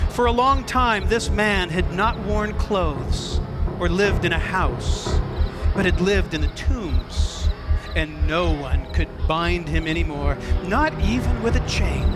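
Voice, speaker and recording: A male preacher giving a theatrical, suspenseful dramatic reading as sermon storytelling, with deliberate pauses. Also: sits high. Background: music and sound effects.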